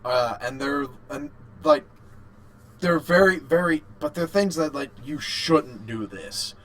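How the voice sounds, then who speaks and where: A man's voice: a little high, expressive, slow. A man co-hosting a casual podcast, speaking informally and often repeating words as he goes. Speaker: podcast co-host. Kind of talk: casual chat about video games.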